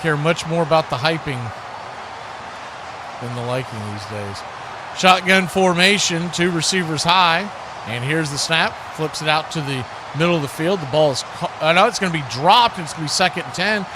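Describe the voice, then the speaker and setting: Male American play-by-play commentator, high voice, folksy and conversational, football livestream with clear background noise. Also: expressive, normal speed.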